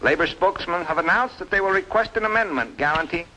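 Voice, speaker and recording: An actor playing a brisk male radio newscaster, reading formally in a declamatory vintage style. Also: sits high, lively intonation, background noise. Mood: urgent, official.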